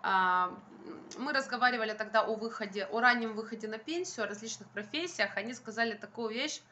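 A woman's voice: a little high, a little fast, bright, forceful tone. A young adult woman chatting casually to camera as a vlogger, speaking in an emphatic, colloquial, venting way. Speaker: vlogger, stay-at-home mother. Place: bright room at home by a window, indoors. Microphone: phone at arm's length.

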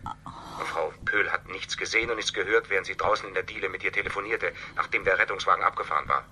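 An evasive, defensive man in a radio crime play. His voice is low and very sharp and forceful, set in measured phrases over slight noise. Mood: confessing. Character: evasive, defensive male family friend hiding a secret. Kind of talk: German radio crime drama acting.

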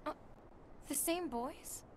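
A woman voicing a solemn, gentle young warrior in a video game cutscene, speaking in a soft, measured way. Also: high-pitched, a little hiss or hum. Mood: wistful.

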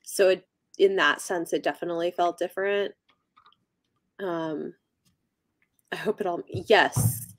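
A woman's voice: on the low side, unhurried, long pauses, no room sound. A young woman co-hosting a book livestream, chatting casually and reacting to viewers as she goes. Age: young adult. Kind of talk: casual chat, reacting to live comments. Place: small book-lined room at home, on a video call.

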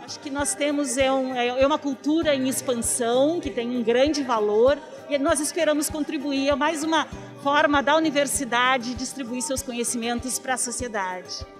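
A woman's voice: high-pitched, moderate pace. A middle-aged woman, slightly muffled by a face mask, giving an unscripted interview as an author. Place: outdoor fair in a square. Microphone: handheld reporter microphone. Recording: busy crowd and music behind.

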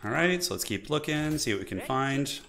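A man live-streaming a strategy game, chatting casually and enthusiastically with viewers in a slightly raspy voice from an irritated throat. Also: mid-range voice, animated, fairly quick, fairly bright tone. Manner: enthusiastic, informal, thinking aloud. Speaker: livestreamer, esports website organizer.

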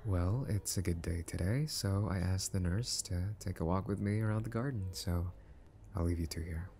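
A man acts out an easygoing male patient in an ASMR roleplay. His deep, mellow voice is casual and reassuring with a gentle tease. Some hospital ambience and noise are audible behind him. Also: normal speed, gravelly.

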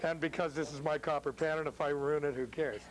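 A man's voice: on the high side, fairly even intonation, fairly quick, very noisy. A middle-aged man hosting a cooking demonstration as a chef, chatting casually in a jokey way. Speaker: cooking show host, chef. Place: restaurant bar room, indoors. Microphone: lapel.

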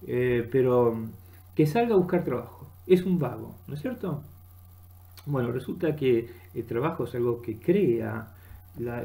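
Gravelly middle-aged Argentine man. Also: mid-range voice, warm tone. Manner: halting, rambling, searching for words. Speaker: vlogger. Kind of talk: unscripted political monologue. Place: small room indoors. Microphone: webcam at desk distance.

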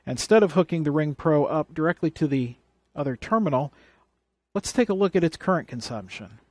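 A man's voice: on the high side, animated, brisk, dry recording. A man presenting a hands-on electronics how-to, explaining things plainly and step by step. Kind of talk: hands-on tutorial demonstration.

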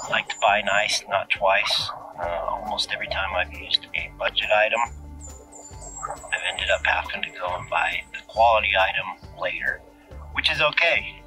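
A man's voice: on the low side, rough recording. Plainspoken man reviewing camping gear outdoors. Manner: relaxed, plainspoken, jokey. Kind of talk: casual gear review to camera. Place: outdoors in open scrubland.

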